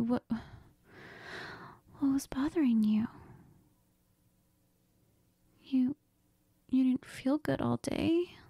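A woman acting as a clingy, affectionate girlfriend in an intimate role-play audio. She murmurs very slowly and hesitantly in a mellow, breathy voice, close and tender. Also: fairly high pitch, dry recording, exaggerated voice. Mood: soft, pleading. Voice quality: breathy, whispery. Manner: hesitant, giggly, tender, murmuring with Spanish endearments. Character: needy, cuddly, affectionate girlfriend who missed her partner.

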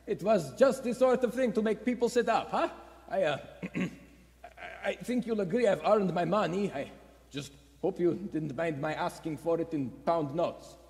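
A man's voice: high-pitched, normal speed, darkish tone. A man playing a nervous, reluctant saboteur who stammers and hesitates in a radio play. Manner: stammering, throat-clearing, hesitant. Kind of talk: vintage radio play acting. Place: theater stage before a live audience. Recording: echoey theater stage.

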